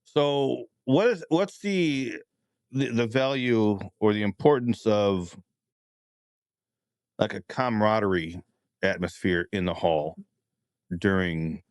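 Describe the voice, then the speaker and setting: Lowish-voiced man, halting, close and dry. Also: dry recording. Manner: hesitant, halting phrasing. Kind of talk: casual podcast conversation.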